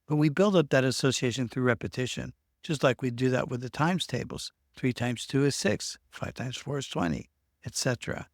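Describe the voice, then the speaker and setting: A man's voice: medium pitch, animated, normal speed, no echo, studio quality. A man talking through ideas on worry in a loose, rambling way, often restarting words mid-sentence. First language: English. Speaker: self-help video host. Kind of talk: unscripted explanatory talk. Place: Norway.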